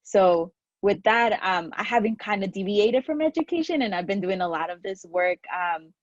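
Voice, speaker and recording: A woman's voice: medium pitch, expressive, normal speed, no echo, lo-fi sound. A woman in her thirties, a New Yorker, speaking earnestly as an alumni panelist with many fillers. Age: 30s. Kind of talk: casual panel talk.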